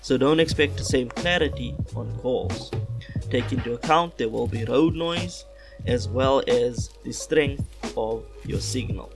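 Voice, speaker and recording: Man, mid-pitched voice, measured product narration, instructional host delivery, small external microphone, music behind. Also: creaky. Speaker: host of a car audio channel. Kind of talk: scripted product unboxing narration. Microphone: small external car-radio microphone, cleaned-up voiceover.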